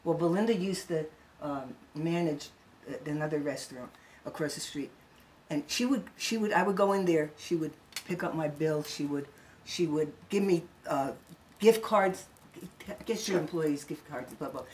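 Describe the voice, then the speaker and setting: Lower-voiced chatty woman guest. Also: moderate pace, a little mellow, a little hiss or hum. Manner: chatty, conversational, frequent fillers. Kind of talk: casual spiritual chat.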